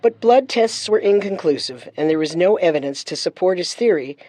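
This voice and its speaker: A woman's voice: lowish voice, lively intonation, brisk, dark tone, no echo. A woman hosting a true-crime show with scripted narration. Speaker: host and narrator.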